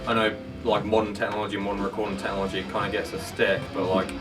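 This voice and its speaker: A man's voice: on the low side, level tone, quick pace. A man in a band chatting casually and enthusiastically through an album commentary, often swearing or saying "like" and "you know". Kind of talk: casual chat. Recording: distant mic, loud guitar music behind. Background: band's album track playing.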